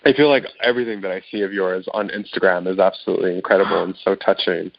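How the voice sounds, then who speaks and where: A man with a lowish, gravelly voice hosts an interview and speaks in an effusive, admiring way. The sound is a dull, muffled telephone line. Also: fairly even intonation, quick pace, dry recording. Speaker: interview host.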